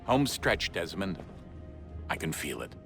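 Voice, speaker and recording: An actor playing a gruff, resolute middle-aged father in a video game, speaking firmly and matter-of-factly. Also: deep voice, very animated, measured pace, a bit bright, faint music behind, heavily acted. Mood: encouraging. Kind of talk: game cutscene dialogue. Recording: clear background ambience noise.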